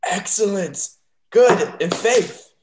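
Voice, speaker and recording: A man in his twenties playing an earnest, simple gentleman in a Shakespeare reading over a video call. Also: high-pitched, lively intonation, slow pace, echoey room, background noise. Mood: merry, dim. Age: 20s. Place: bedroom.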